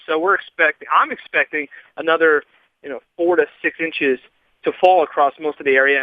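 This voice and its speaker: Fast, high-voiced radio weatherman. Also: no room sound, phone-quality sound. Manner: brisk upbeat forecaster patter. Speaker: radio meteorologist.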